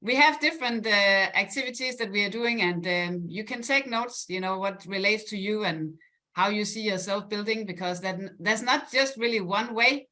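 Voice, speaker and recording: Bright-voiced woman from Denmark. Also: medium pitch, moderate pace. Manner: upbeat, laughs often, many fillers. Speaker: guest presenter. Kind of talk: business call presentation.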